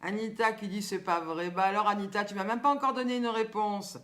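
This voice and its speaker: A woman's voice: mid-range voice, fairly quick. An older woman with a Southern French accent, hosting a chatty live stream, asking riddles and teasing viewers by name. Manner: lively, teasing, chatty, reads viewers' comments aloud. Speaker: host.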